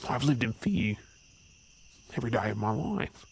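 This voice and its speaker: A man's voice: medium pitch, sing-song, moderate pace, vocal fry. A man playing an earnest, brooding werewolf, weary of hiding, in a supernatural ASMR audio drama. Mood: anguished. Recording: faint noise with chirping night insects.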